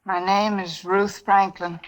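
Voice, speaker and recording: A woman's voice: medium pitch, little pitch movement, a little slow, close to an everyday voice, poor audio. An actress playing a poised, sardonic career woman in her thirties, dry and polished, in 1940s radio drama style. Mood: cool, composed. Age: 30s. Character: poised, sardonic best-dressed career woman confessing to murder. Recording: old radio broadcast with music underneath.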